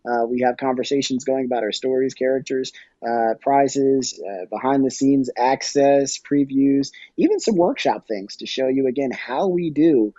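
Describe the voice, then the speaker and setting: Mellow, halting man in a dull, dry recording. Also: mid-range voice, dry recording. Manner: discursive, halting, thinking aloud. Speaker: podcast guest, audio storytelling company executive. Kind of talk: conversational interview answers.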